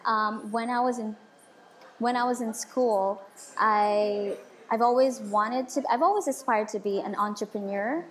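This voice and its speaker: Chatty young woman, slightly high voice. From Philippines, raised in the Middle East. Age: 20s. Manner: chatty, with many filler likes and quick restarts. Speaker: podcast guest. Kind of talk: casual interview conversation.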